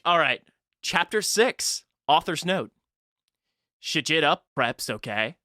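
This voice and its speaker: A woman hosting a podcast, animated and jokey while chatting and reading fanfiction aloud. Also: sits low, takes it slowly, no echo, faint music behind. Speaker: podcast host. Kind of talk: casual chat and fanfiction read-aloud.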